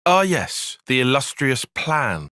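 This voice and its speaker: Precise young soldier strategist, lively pitch. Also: male voice, dry recording, studio quality, heavily acted. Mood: dry, sarcastic. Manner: formal, measured, precise, dryly sardonic. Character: young male soldier strategist, precise, bookish, wry, easily flustered. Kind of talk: video game cutscene voice acting.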